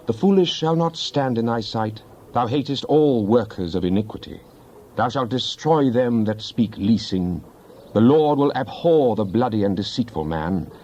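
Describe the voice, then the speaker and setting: A man's voice: mid-range voice, warm tone, muffled recording, lightly acted. A man playing a fervent psalmist in a dramatized scripture reading, pleading with God in solemn, impassioned, declamatory tones and archaic diction. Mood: stern.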